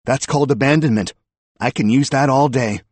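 A man's voice: mid-range voice, a little fast, darkish tone, dry recording, voice pushed up, close to an everyday voice. A man voicing a scornful, coldly relentless female police detective who is sardonic and confrontational, in an audiobook story. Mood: brisk, mocking.

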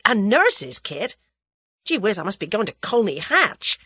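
Brash yank lad, loud and excitable, bright and husky, read by a woman. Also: medium pitch, measured pace, dry recording, heavily acted. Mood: agitated. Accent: American. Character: brash young American man, impulsive, blustering.

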